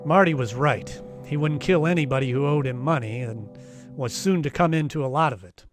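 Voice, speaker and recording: A man's voice: on the high side, a little fast, over music, lightly acted. A man playing an unflappable, wisecracking private detective who also narrates in a first-person noir radio style. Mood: wry narration. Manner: dry, wisecracking.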